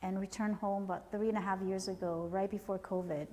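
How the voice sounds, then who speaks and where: A middle-aged woman, answering interview questions as a company founder, measured and polite with frequent pauses. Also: medium pitch, fairly even intonation, warm tone, a little hiss or hum. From born in Cambodia, raised in the United States. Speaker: guest, company founder and CEO. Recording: long hall reverb.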